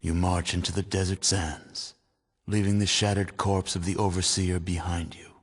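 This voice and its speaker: Deep, solemn game narrator. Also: male voice, flat delivery. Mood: grave, solemn. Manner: solemn, measured. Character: grave, solemn male narrator of a post-apocalyptic chronicle. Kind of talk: scripted dramatic narration. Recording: long cavernous reverb.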